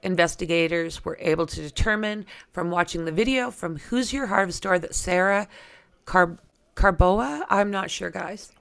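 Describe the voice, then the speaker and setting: Slow-talking woman with a creaky voice. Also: lowish voice, a bit bright. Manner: chatty, informal, thinking aloud. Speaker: true crime livestream host. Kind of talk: reading documents aloud with casual asides to viewers.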